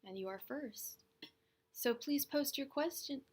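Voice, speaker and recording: A young woman hosting a tarot livestream, chatting casually, greeting viewers by name and laughing often. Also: high voice. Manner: says she talks fast.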